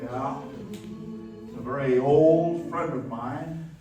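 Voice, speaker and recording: A man's voice: mid-range voice, takes it slowly, music in the background, natural voice. A man playing an overjoyed, stammering family man in a live stage radio play. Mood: tender, moved. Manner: exuberant, stammering, exclaiming. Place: live performance in a dance hall. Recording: distant, echoey dance hall with audience.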